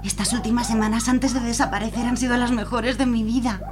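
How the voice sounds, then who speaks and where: A woman's voice: a little high, fairly even intonation, quick pace, music in the background, close to an everyday voice. A woman playing a melodramatic, spiritual hippie in a comic radio serial. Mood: passionate. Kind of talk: comedic radio serial acting.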